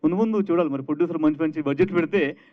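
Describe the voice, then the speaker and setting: Thin, phone-like event audio carries a man speaking very quickly in a fairly high voice. He explains himself earnestly, as if answering the press. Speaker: film director.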